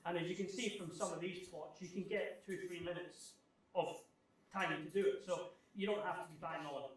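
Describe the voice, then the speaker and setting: A man giving an informal technical presentation, explaining things in a halting, thinking-aloud way as he answers questions. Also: sits high. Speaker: guest speaker giving a technical club talk. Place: club meeting room, live talk. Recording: echoey room, distant microphone.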